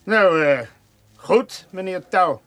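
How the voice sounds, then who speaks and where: An actor playing a polite, insistent government inspector in a radio play, formal and businesslike. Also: male voice, high voice, slow, a bit bright, full character voice. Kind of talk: Dutch radio play acting.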